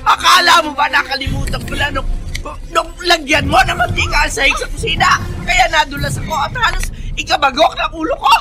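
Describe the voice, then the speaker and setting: An actress playing an older housekeeper in a Filipino radio drama, scolding and accusing with vehement fury. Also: female voice, high-pitched, fairly quick, raised voice, music in the background, a crowd in the background, natural voice. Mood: enraged, accusing. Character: furious older housekeeper woman confronting a young rival.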